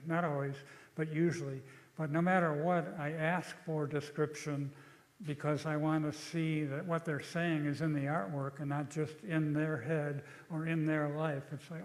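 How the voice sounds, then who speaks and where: An older man giving a lecture and taking questions, speaking in a thoughtful, halting way with frequent restarts. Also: fairly high pitch, in a large hall, rough recording.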